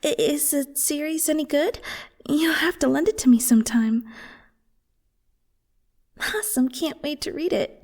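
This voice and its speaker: A woman's voice: sits high, measured pace, long pauses, darkish tone, no echo, studio quality. A woman playing an affectionate, playful and protective werewolf girlfriend in an intimate audio roleplay, tender and teasing, often murmuring or whispering. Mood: casual, curious.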